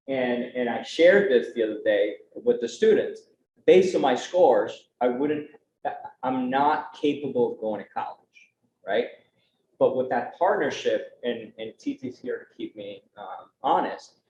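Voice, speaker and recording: A man's voice: fairly low pitch, a little mellow. A young adult man speaking earnestly on a conference panel, with a conversational style and frequent tag questions. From United States. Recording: roomy, dull, faint background noise.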